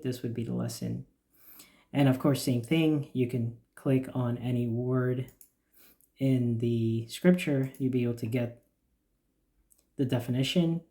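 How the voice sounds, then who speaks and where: A man explaining the features of a church website in a steady, plain walkthrough with occasional fillers. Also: medium pitch. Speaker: church website developer giving a demo. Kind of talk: screen-recorded software walkthrough.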